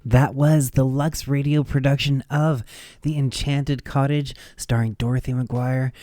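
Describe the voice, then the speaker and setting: A man's voice: medium pitch, little pitch movement, measured pace, warm tone, no room sound, studio quality, faint music behind. A man hosting a radio show, giving scripted commentary in a reflective, appreciative way. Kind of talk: scripted narration.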